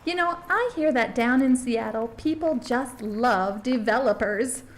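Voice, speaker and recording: Actress as a high-voiced, gushing tour host woman. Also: unhurried, slight background noise, close to an everyday voice, low-quality recording. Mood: breezy, polite. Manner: gushing, polite, tour-guide patter. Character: chirpy, folksy small-town tour host woman named Barbara. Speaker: documentary host and interviewer. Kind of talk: scripted mockumentary narration and interviews.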